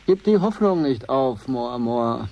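An actor playing a wry, tender captive spaceman who stays calm in despair, in a German radio drama. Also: mid-range voice, a little slow, gravelly, highs cut off.

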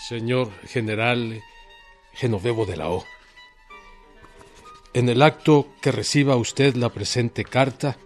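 A man playing a resolute revolutionary leader who dictates orders in a formal, deliberate, authoritative way in a radio drama. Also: medium pitch, natural voice. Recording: radio play with music underneath.

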